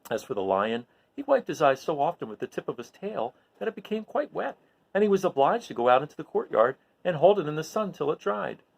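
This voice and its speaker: A man reads a storybook aloud to his listeners. His delivery is animated and very varied in pitch, and his voice has a creaky, gravelly edge. He tells the tale expressively, as if to children. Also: a little fast. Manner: animated, recapping with questions to listeners. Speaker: storyteller reading aloud to an audience.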